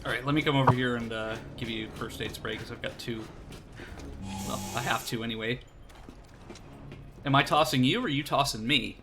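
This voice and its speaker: A man's voice: medium pitch, a little fast, creaky, pauses often, music in the background. A man streaming a co-op shooter, chatting casually with a friend, teasing and laughing often, with a somewhat nasal voice. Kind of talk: casual co-op gameplay chat.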